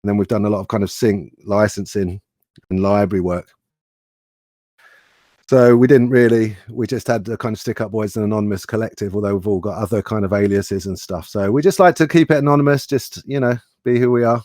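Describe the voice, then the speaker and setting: A man's voice: fairly low pitch, a little fast, a little mellow, no room sound, studio quality. A man chatting casually as a guest musician, easygoing and jokey. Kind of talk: casual interview chat.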